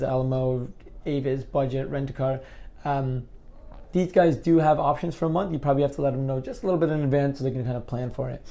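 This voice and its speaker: Male explainer host, slightly high register, relaxed mellow tone, informal conversational delivery. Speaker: host of an advice video on car rental. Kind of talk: casual explainer to camera.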